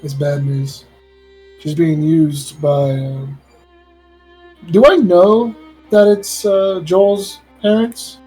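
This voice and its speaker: Casting: man, higher mellow husky voice, tabletop player, unsure. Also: fairly even intonation, extremely slow, pauses often, faint music behind. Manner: unsure, asks lots of questions. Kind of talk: playing a tabletop game.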